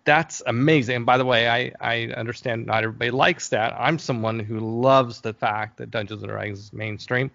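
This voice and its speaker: Middle-aged male interviewee, conversational and halting, creaky delivery, slightly muffled lo-fi call audio. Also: mid-range voice, fairly even intonation, fairly bright tone, no echo. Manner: hesitant, rambling, thinking aloud. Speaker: interview guest, game company founder.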